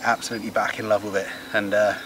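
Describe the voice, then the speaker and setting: A man in his forties with a lowish voice talks to camera in a loose, matey way. He is recorded outdoors, with faint natural noise around him. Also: level tone, fast talker. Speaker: fishing vlogger. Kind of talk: casual chat to camera. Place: outdoors on a wooded lake bank.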